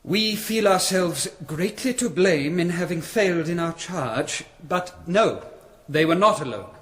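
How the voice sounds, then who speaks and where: A man's voice: high-pitched, animated, unhurried, fairly bright tone. A man playing an earnest, troubled monk envoy who speaks formally and anxiously in a radio drama.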